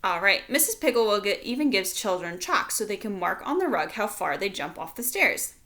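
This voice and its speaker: Woman, bright crisp-edged voice, animated intonation, classroom-style storybook read-aloud, explanatory asides to kids. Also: medium pitch, a little fast. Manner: explanatory, addressing young listeners directly. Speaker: teacher reading aloud to pupils.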